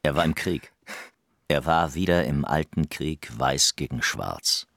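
A man's voice: very deep voice, animated, a little slow, vocal fry, a bit bright, dry recording, studio quality. A man narrating a literary radio play dramatically, in terse, declarative sentences. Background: music.

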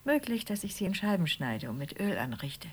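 An actress playing a frustrated but hospitable wife in a radio play, dry and matter-of-fact, with a husky, creaky voice. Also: female voice, lowish voice, very animated, moderate pace, a little mellow. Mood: resentful, dry. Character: frustrated, hospitable wife, quietly defiant toward her husband. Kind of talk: German radio play acting.

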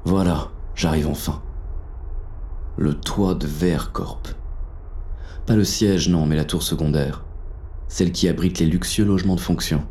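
Man playing a deep, gravelly, wary police inspector. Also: warm tone. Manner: terse, tense inner monologue with coarse asides. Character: gruff, wary male police inspector turned burglar. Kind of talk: scripted audio drama narration in present tense.

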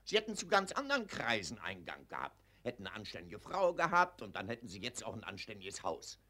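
A blustering older colonel in a vintage radio drama, lecturing in a lowish, lively voice. His tone is bright and husky, swinging up and down as he talks down to others. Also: fairly quick, exaggerated voice. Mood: pompous. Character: pompous older male colonel, patronizing. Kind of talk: 1940s radio play acting.

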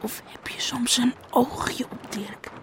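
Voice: medium pitch, steady tone, takes it slowly, in a whisper, lightly acted. A performer playing a stubborn, accusing young twin boy who longs for the sea, in a radio drama with noise behind.

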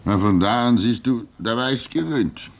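A man acting in a German radio play as a gentle, resigned old hermit, fatherly and reflective, with halting speech that swings between emphasis and hush. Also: mid-range voice, expressive, lightly acted. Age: older.

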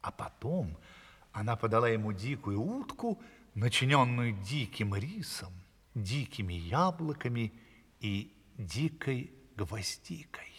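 A man telling a fairy tale to children theatrically, savouring his words with a breathy, hushed delivery. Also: mid-range voice, very animated, slow, long reverb tail, heavily acted. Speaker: storyteller.

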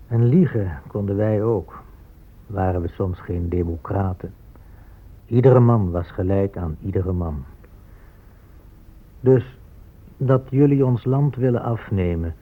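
Slow, husky, proud warrior. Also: male voice, on the low side. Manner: measured, deliberate. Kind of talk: radio drama monologue. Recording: muffled, narrow-band old radio recording.

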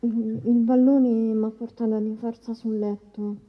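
A woman's voice: a little high, flat delivery. An actress playing a young woman, shaken but defiant, testifying as a victim in a courtroom drama. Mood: shaken, halting. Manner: hesitant, halting testimony. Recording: slightly dull, muffled sound.

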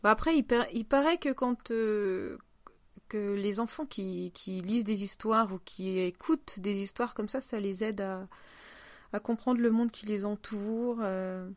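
Woman podcast host just chatting, normal mid pitch, really dry sound. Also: measured pace, no echo. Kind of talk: casual chat.

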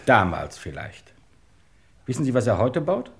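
An actor playing a dry, knowing police detective in a German crime radio play, deliberate and insinuating. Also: male voice, medium pitch, sing-song, gravelly.

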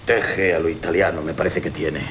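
Un actor de acento castellano interpreta a un capitán galante, orgulloso y rumboso, con tono grandilocuente de comedia clásica radiofónica. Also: male voice, fairly even intonation, a little fast, fairly bright tone, a little hiss or hum. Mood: brisk, commanding. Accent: Castilian Spanish. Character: gallant, free-spending captain, proud and doting lover. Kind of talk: classic Spanish comic radio theatre. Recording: rumbly 1980 radio drama recording.

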